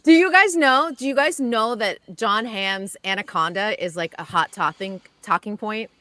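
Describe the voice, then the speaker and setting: A woman guest on a radio chat show, telling gossip in an animated way. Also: mid-range voice, moderate pace, no echo.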